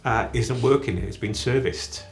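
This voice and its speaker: A man planning a trip on camera, chatting in a halting way that restarts its sentences. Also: mid-range voice, soft background music. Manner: chatty, halting, self-correcting. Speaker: travel vlogger. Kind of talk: casual chat.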